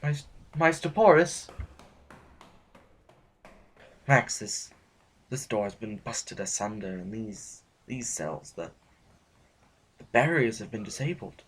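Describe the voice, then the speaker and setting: A man's voice: lowish voice, animated, unhurried, fairly bright tone, husky, full character voice. A man playing an anxious, proper official who stammers and hesitates in an audio drama. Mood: alarmed. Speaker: voice actor.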